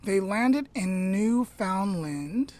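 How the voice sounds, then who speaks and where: Female storyteller, mid-pitched and unhurried, informal podcast narration with dramatic pauses. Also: expressive, darkish tone. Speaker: podcast host telling a story. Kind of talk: storytelling retold aloud, informal.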